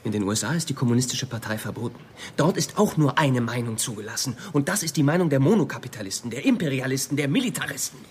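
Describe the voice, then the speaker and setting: An actor playing an idealistic, stubborn young male student in a radio play, earnest and insistent, shifting between hushed and heated. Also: medium pitch, expressive, quick pace, few pauses, slight background noise, close to an everyday voice. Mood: indignant. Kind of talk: naturalistic radio play acting.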